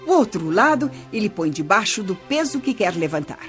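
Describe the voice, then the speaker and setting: A woman's voice: mid-range voice, moderate pace. A woman playing a patient, wise old grandmother who explains things to children in a radio play. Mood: patient, instructive. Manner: didactic, expressive storytelling. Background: music.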